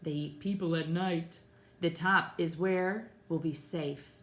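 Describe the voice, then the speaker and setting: A woman's voice: low-pitched, fairly even intonation, slow pace. A woman voicing an eager, take-charge young boy playing pretend in a children's storybook, recorded in an echoey room. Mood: adventurous, reassuring. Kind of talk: children's storybook character reading.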